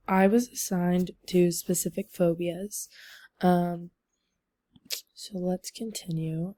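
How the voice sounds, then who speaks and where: A young woman giving a class presentation over slides, losing her voice, talking haltingly with many ums and likes. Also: lowish voice, mellow, dry recording. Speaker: student presenter.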